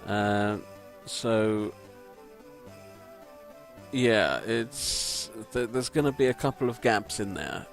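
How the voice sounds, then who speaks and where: A man with a croaky voice, giving rambling, self-deprecating gameplay commentary from a home mic and swearing casually. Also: on the low side, slow, long pauses, faint music behind. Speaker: let's play commentator. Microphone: home desk microphone.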